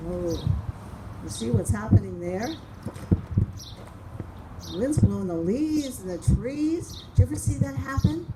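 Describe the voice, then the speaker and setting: Lady doing kids storytime outside, takes it real slow, asks lots of little questions, loud birds and noise all around. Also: a little high. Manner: asks the listeners frequent simple questions, dramatic word stretching. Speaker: children's storytime reader. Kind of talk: reading picture books aloud to young children, chatting between lines. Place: outdoors among flowers and open sky.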